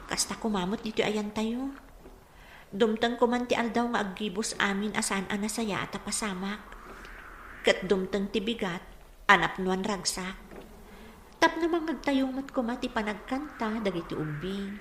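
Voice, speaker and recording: A woman's voice: mid-range voice, moderate pace, a bit bright, natural voice. An actress playing a wistful, troubled village woman who longs for peace, in an earnest, reflective radio drama style. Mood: wistful hope. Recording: echoey room with faint noise.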